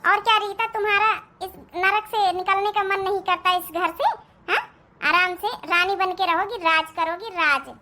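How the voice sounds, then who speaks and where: Woman playing young rich bahu in a cartoon, really high bright voice, sassy, fake polite, bossy confident. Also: steady tone, moderate pace. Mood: affectionate, coaxing. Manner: mock-polite, assertive, sugary. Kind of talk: Hindi moral-story cartoon acting.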